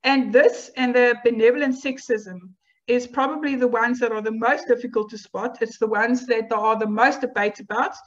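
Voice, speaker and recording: Woman, native Afrikaans speaker, academic lecturer, measured, slightly high voice, muffled lo-fi recording. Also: steady tone. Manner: measured, explanatory, with filler ums and rhetorical questions. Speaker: university lecturer. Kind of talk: academic lecture over slides. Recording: dull lo-fi online lecture audio.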